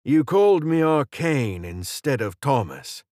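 A man's voice: medium pitch, very animated, unhurried, a little mellow, dry recording, clean studio sound, pitched above the performer's own voice. A man voicing an aging British council leader, articulate, formal and wry, in a fantasy audiobook. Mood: wry, friendly.

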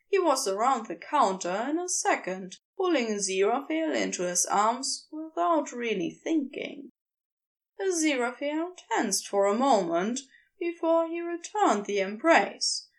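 A woman narrating scripted fiction as a storyteller. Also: high voice.

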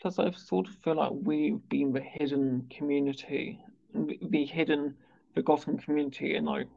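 A man with a stammer who speaks haltingly, repeating words and sounds as he hosts a conversational interview. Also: a little high, steady tone, creaky, mellow. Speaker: interviewer and stammering awareness campaigner. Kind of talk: conversational interview on a video call. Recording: dull, lo-fi video call audio.

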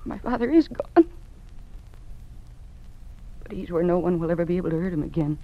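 An actress playing an earnest, idealistic woman schoolteacher in an old-time radio drama, speaking with deliberate, dramatic feeling. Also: on the low side, animated. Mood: resolute, sorrowful. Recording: dull, band-limited old radio recording.

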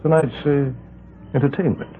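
A man's voice: mid-range voice, moderate pace, slight background noise, natural voice. An actor playing a secretive island man who guards his land with a rifle, polite on the surface and clipped when giving orders. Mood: sly courtesy. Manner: politely ominous, then clipped and commanding. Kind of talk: 1970s radio drama acting. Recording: narrow-band 1970s radio broadcast.

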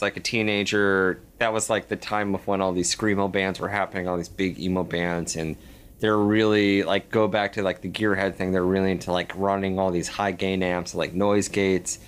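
A man in his thirties in casual chat, telling rambling stories full of "like" and getting animated. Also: sits low, moderate pace, smooth. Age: 30s. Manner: rambling storyteller, heavy filler "like", animated.

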